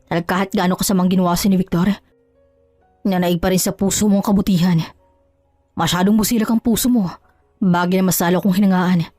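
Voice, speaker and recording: A woman voicing an earnest, forgiving male friend who gently persuades in an audio drama. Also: fairly low pitch, no room sound, natural voice. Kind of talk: Tagalog audio drama acting.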